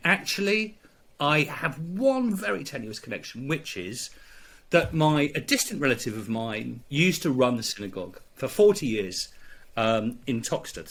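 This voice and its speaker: A middle-aged English man, a priest, telling a personal story in conversation, halting with many fillers and restarts. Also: on the high side, fairly bright tone. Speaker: priest, guest.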